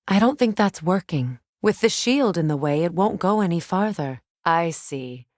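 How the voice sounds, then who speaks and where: A woman voicing a composed, wry, middle-aged warrior goddess, a measured and knowing companion in a video game. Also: on the low side, no room sound, clean studio sound.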